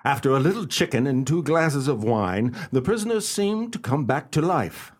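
A man playing a confident, courteous gentleman detective, speaking formally and decisively in a radio play. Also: medium pitch, very animated, moderate pace, soft background music. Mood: calm, matter-of-fact.

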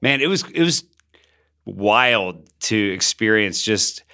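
Earnest low-voiced man in podcast conversation. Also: animated, takes it slowly, sharp tone. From Colombia, raised in Bogota by missionary parents. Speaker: pastor and podcast co-host.

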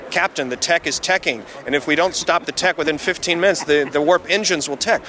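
A man with a bright, forceful voice, pitched a little above usual, telling a wry story at a convention. He breaks into quick mock dialogue full of jargon. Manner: playfully mocks the script jargon in put-on dialogue. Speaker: interviewed screenwriter. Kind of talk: anecdotal interview.

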